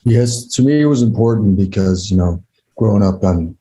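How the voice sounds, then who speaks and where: A man's voice: fairly low pitch, animated, moderate pace, warm tone, slightly rough, low-quality recording. A man, telling a personal story conversationally as a panel guest. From Navajo reservation, United States. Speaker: actor and filmmaker guest.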